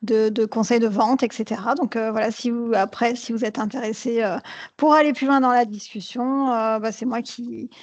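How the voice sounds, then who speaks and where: A woman's voice: fairly high pitch, steady tone, normal speed, darkish tone, dull audio. A woman from France co-presenting a webinar over a video call, talking with many fillers. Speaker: consultant and co-presenter. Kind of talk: live online presentation.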